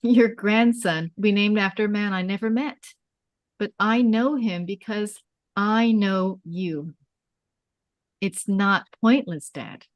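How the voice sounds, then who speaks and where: A woman's voice: mid-range voice, slow, no echo, dull audio, lightly acted. A woman at a script table read playing a pregnant young daughter, earnest, tender and hopeful.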